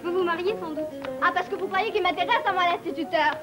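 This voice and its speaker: An actress playing an excitable, quarrelsome young working-class woman with a French Algerian pied-noir accent in a comic drama. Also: very high voice, fast, music in the background. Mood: spiteful gossip. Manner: colloquial, gossipy, exclamatory.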